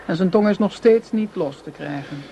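A woman's voice: mid-range voice, moderate pace, mellow, close to an everyday voice. An actress playing a cool, domineering woman who runs a counterfeiting gang in a radio play, with a condescending, commanding manner. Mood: businesslike. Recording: noisy radio play recording.

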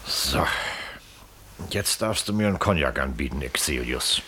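An actor playing a shrewd legal official in a radio drama, authoritative, impatient and worldly. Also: male voice, extremely low pitch, lively intonation, a little slow, sharp tone, a little hiss or hum, heavily acted. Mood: brisk, official. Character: shrewd, commanding male legal official investigating a crime. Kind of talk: German radio drama acting.

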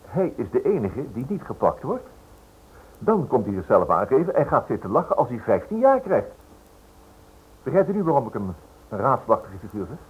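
A man's voice: medium pitch, very animated, moderate pace, husky, phone-quality sound. An actor playing a polite, inquisitive male writer who stammers hesitantly over his words in a Dutch radio drama. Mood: insistent, curious. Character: inquisitive, hesitant writer probing a criminal case. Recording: noisy old radio drama recording.

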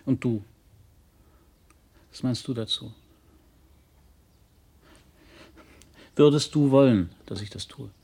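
An actor in a German radio drama playing a wounded, conscientious young adult son, emotional and full of doubt. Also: male voice, medium pitch, unhurried, dark tone, husky. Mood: doubtful, subdued. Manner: pleading, emotional.

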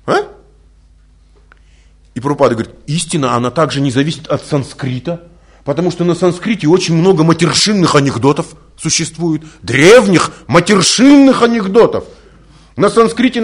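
A man who is not a native Russian speaker giving a spiritual lecture, full of rhetorical questions and lively storytelling. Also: high voice, fairly quick. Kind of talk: recorded religious lecture.

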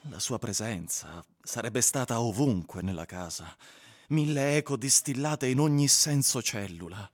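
Brooding, infatuated husband. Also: male voice, mid-range voice, lively intonation, measured pace. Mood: brooding, wistful. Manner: introspective, literary. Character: infatuated, brooding husband recalling his obsession. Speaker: actor in radio drama. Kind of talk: radio drama monologue and dialogue.

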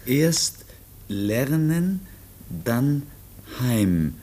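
An actor playing a childlike, bewildered young foundling in a radio play, speaking in halting, broken, simple phrases. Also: male voice, medium pitch, sing-song, darkish tone, full character voice. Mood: earnest. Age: young adult. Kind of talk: German radio play acting.

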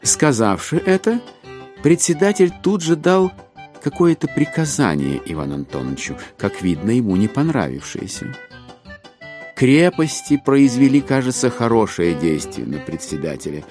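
An actor playing a wry narrator, giving expressive literary narration in an audio drama. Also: male voice, mid-range voice, normal speed, lightly acted. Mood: wry storytelling. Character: wry male narrator of a classic satirical novel. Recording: music behind the voice.

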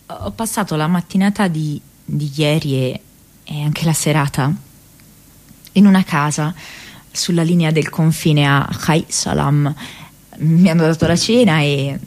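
A woman playing an earnest young activist in a radio play, speaking a letter home to her mother haltingly, searching for words. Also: low voice, darkish tone. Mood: earnest recounting. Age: young adult. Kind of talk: dramatic monologue in radio theatre, reading a diary letter.

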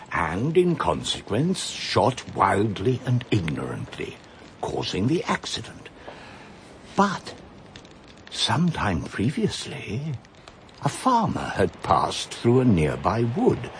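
An actor playing an elderly male judge in a radio drama, speaking in a measured, precise, ominous way. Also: on the low side, slight background noise, heavily acted. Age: older. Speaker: retired judge.

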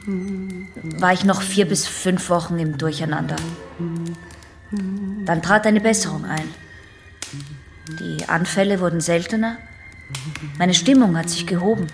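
Guarded, lowish-voiced young patient. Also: female voice, a little slow, pauses often, faint music behind. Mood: confiding. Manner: hesitant, broken sentences. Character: troubled young female patient, guarded and defensive. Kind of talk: radio drama acting.

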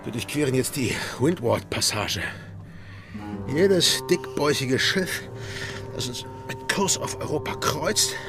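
An actor plays a roguish, confident pirate with a protective streak. His mid-pitched voice swings very lively, with music behind it, in radio drama style. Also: close to an everyday voice. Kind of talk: German radio drama acting.

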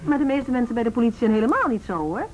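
A humane, questioning policewoman in a radio play. Her voice is fairly high and she talks fast, in a conversational, sceptical way. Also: big pitch swings, highs cut off. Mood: sceptical, challenging. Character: idealistic, sceptical, humane female police officer. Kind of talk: Dutch radio drama acting.

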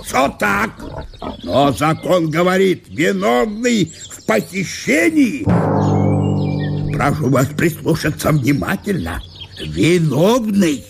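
A man playing a pompous, officious small-town police chief in a literary audio play, with a gravelly voice and deliberate official manner. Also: fairly high pitch, sing-song, over music, voice pushed up, heavily acted.

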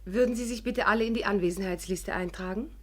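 An actress playing a clever, courteous amateur sleuth who also narrates a 1950s radio play, earnest and articulate. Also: female voice, medium pitch, quick pace, natural voice. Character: clever, polite amateur sleuth bluffing as secretary. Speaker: heroine and narrator.

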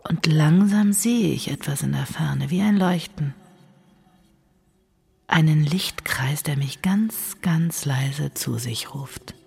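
An actress playing a woman narrating a dark, trancelike inner monologue in hushed, breathy, deliberate short phrases. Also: deep voice, heavily acted. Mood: entranced, tender. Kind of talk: intimate audio drama monologue.

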